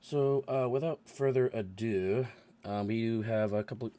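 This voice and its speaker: A man with a cold, hoarse, hosting and running a tabletop game session, halting with many fillers. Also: mid-range voice, warm tone. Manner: halting, many ums and restarts. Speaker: dungeon master and show host. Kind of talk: casual announcements and chat. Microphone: new microphone.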